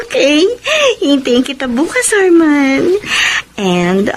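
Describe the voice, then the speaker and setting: A woman's voice: sits high, sing-song, slow, heavily acted. A woman playing a flirtatious, sweet-talking co-worker in a Filipino radio melodrama, coy and teasing. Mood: flirty.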